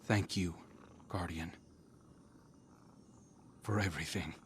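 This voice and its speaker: An earnest outcast hero speaks in a lowish voice at a slow pace. He is humble, sincere and reflective, a game character with faint noise behind him. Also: fairly even intonation, fairly bright tone. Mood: heartfelt, grateful. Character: earnest, humbled male outcast hero finding his path.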